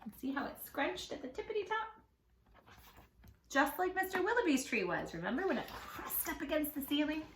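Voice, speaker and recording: A woman reading a children's story aloud in an expressive, affectionate way, speaking straight to young listeners. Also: sits high, normal speed, roomy sound, slight background noise. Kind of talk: animated children's read-aloud.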